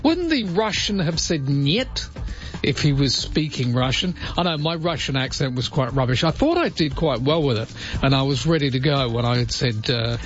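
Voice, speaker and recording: A man hosting talkback radio, chatty and self-mocking, quick to laugh at himself. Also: mid-range voice, muffled recording. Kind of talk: casual talkback radio chat.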